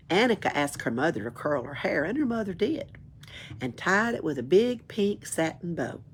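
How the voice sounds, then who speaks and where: Low, lively, creaky woman storyteller. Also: slight background noise. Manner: expressive storytelling delivery. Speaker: library storyteller. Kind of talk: reading a children's book aloud.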